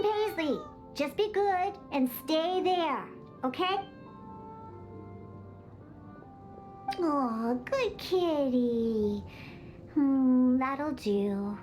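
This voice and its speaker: Very high-pitched feisty cat girl. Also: darkish tone. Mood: eager, bossy. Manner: indignant, bossy, cutesy. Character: feisty young cat-girl bartender who despises alcohol. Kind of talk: video game character acting.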